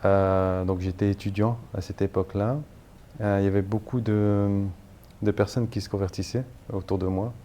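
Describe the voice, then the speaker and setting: A man in his thirties from northern France speaks in a low, mellow voice as an interview guest. He pauses often, restarting sentences and filling gaps. A faint hiss sits under the recording. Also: flat delivery. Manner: hesitant, many fillers and restarts. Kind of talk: interview, personal story.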